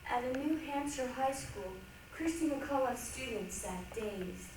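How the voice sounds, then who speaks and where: A woman's voice: sits high, animated, measured pace. A woman performing in a reader's theatre, reciting a script in a theatrical, declamatory way. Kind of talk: dramatic ensemble stage reading. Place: large echoey room, recorded from a distance. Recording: echoey hall, distant mic, faint noise.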